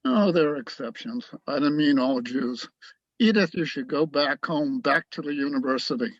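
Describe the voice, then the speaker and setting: A cynical, hard-drinking army doctor played by a man talks bluntly and wearily in a rough, husky voice full of creak. It is radio-play acting, recorded dry with a slightly dull tone. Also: a little high, moderate pace, no echo. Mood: brusque. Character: tipsy, cynical, bigoted army doctor at the front.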